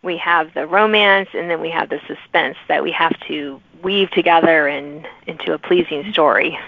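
A woman's voice: low voice, moderate pace, vocal fry, no room sound, narrow, tinny audio. A woman hosting a podcast, chatting casually as she interviews a guest. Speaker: podcast host.

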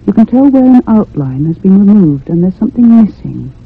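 An actress playing an anxious, curious woman in a radio drama, speaking in a measured, literary and expressive way. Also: mid-range voice, vocal fry, husky, exaggerated voice. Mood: reflective. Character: anxious, curious convalescent unmarried woman narrating a haunting. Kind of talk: radio drama acting and narration. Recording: muffled, phone-like old radio sound.

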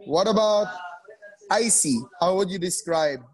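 A man's voice: sits high, animated, measured pace, slightly rough, poor audio. Coaxing male teacher on a video call. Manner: coaxing, repeats names and words. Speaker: English teacher. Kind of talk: online class discussion.

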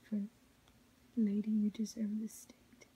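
A woman softly reading poetry in a breathy whisper, ASMR style, with a measured, melodic recitation. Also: mid-range voice, monotone, poor audio. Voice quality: soft unvoiced whisper, breathy.

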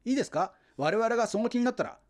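An actor playing a pushy, fanatical hometown booster who lectures insistently and grandiosely in a comic radio drama. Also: male voice, extremely high pitch, lively intonation, very fast, a little mellow. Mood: grandly boastful. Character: pushy, fanatical Nagoya booster, indignant salesman-like man.

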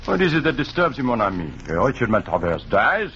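An actor playing a vain, middle-aged foreign detective with a French accent and pompous, halting English in an old radio drama. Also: male voice, mid-range voice, very animated, a bit bright. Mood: theatrical, teasing. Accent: French-speaking European. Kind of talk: 1940s radio acting. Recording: muffled, noisy 1940s radio recording.

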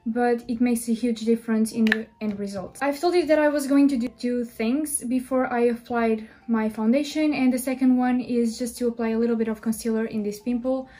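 A woman's voice: on the high side, steady tone, a little fast, few pauses, warm tone. A young woman in her twenties from Portugal, speaking English with a Portuguese accent as she chats through a makeup tutorial in her bedroom. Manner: friendly, chatty, explaining step by step. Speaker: beauty vlogger. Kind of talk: makeup tutorial talk to camera. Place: small bedroom indoors.

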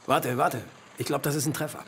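A man plays a flippant clerk in a radio play. His fairly high voice is sarcastic and colloquial, impatient with everyone, with faint noise behind. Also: fairly even intonation, a little fast, natural voice.

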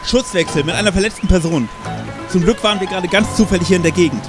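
An actor playing an earnest, dramatic police officer in a radio play. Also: male voice, sits high, fast, close to an everyday voice. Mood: matter-of-fact. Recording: clear music behind the voice.

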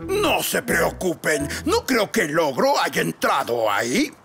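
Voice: huge pitch swings, vocal fry, fairly bright tone, slightly rough, faint music behind, heavily acted. An actor voicing a jolly, teasing zebra grandfather in a children's cartoon, with a theatrical manner. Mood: calm, reassuring. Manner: slow, theatrical, booming.

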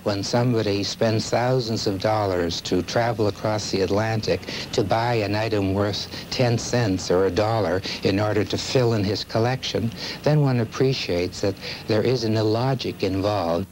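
An older man with a low voice speaks reflectively in an interview, choosing formal, precise words. The old tape has faint background noise. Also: moderate pace, hardly any pauses, darkish tone. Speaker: collector interviewed. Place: office, indoors. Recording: noisy old videotape.

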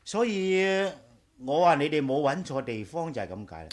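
A man's voice: fairly high pitch, big pitch swings, moderate pace. A man with a Hong Kong Cantonese accent playing an easygoing, boastful engineer friend in a 1980s radio drama. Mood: breezy, boastful.

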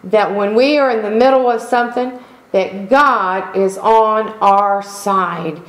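Slow, emphatic woman preaching in an echoey church. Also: mid-range voice. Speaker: pastor. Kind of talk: sermon. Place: church sanctuary. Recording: echoey room.

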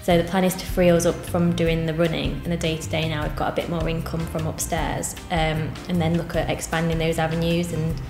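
A woman's voice: low-pitched, little pitch movement, brisk, hardly any pauses, reverberant, music in the background. A woman in her twenties, a bakery co-owner, answering interview questions in an earnest, plain way with many ums. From England. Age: 20s. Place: cafe interior, seated on sofa.

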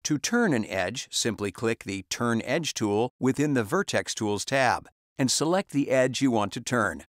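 Male voice-over for a 3D software tutorial, mid-pitched, calm and instructional, dry close recording. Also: measured pace, no room sound.

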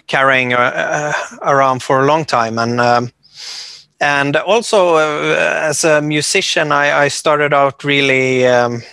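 Norwegian man in his forties, a composer guest; bright tone, hesitant delivery with frequent fillers, dry close sound. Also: mid-range voice, no echo. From Norway. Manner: hesitant, many fillers and restarts. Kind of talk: casual interview talk.

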